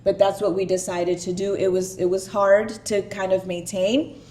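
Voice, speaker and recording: A woman from Puerto Rico whose first language is Spanish, speaking as a guest in a relaxed interview with many fillers. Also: medium pitch, normal speed. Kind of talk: casual interview talk.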